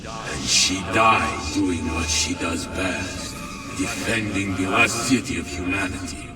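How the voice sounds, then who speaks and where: Echoing, grieving warrior orator. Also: male voice, deep voice. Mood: grieving, halting. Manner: solemn, halting, oratorical. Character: grieving devoted male warrior giving a solemn eulogy. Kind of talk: dramatic eulogy speech. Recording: echoey hall, distant, music over it.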